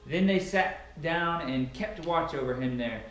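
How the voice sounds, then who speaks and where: A man's voice: a little high, measured pace, a bit bright. A young adult man giving a dramatic Bible reading in a declamatory, emphatic way, recorded by a camera a few meters away in a large living room. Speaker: reader of scripture. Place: large, sparsely furnished living room indoors. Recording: very echoey room, camera at a distance.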